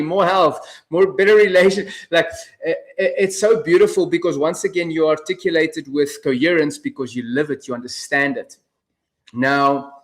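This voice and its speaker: A man with a fairly high-pitched voice talks as an interviewer in a casual conversation. He sounds earnest and feels his way through sentences, halting and restarting as he searches for words.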